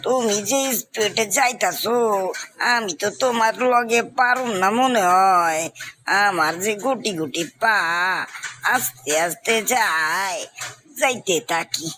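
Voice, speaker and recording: A woman acts out a small, determined tortoise from a cartoon fable, with a Bangladeshi accent. The voice is bright and very slow, drawing out and repeating phrases with a teasing streak. There is noticeable noise on the recording. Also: medium pitch. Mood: determined, friendly. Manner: drawn-out, repetitive phrasing. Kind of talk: amateur cartoon story voice acting.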